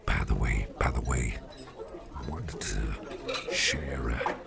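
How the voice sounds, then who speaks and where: A man playing a friendly, affectionate office boss in an ASMR roleplay, murmuring in a breathy half-whisper and rambling gently as he repeats himself. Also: rumbling low, takes it slowly, full character voice. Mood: confiding, puzzled. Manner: hesitant, repetitive, gently rambling. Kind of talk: ASMR roleplay, intimate personal attention. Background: music.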